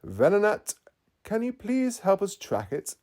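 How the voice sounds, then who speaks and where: Man voicing a helpful, excitable boy expert, higher-pitched. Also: a little slow, heavily acted. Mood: gentle request.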